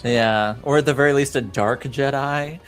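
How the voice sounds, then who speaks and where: A man narrating a casual recap as game master of a tabletop game, haltingly searching for words. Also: medium pitch, soft background music.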